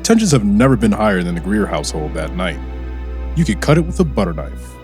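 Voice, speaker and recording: A man's voice: on the low side, sing-song, fairly quick, darkish tone. A man narrating like an old-time radio serial announcer, theatrical and building suspense. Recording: music under the voice.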